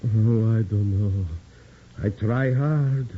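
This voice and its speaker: A man's voice: lowish voice, takes it slowly. An actor playing a hot-tempered older immigrant miner and father who speaks broken English, in 1940s radio acting. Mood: remorseful. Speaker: union leader. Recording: dull old radio recording with hiss.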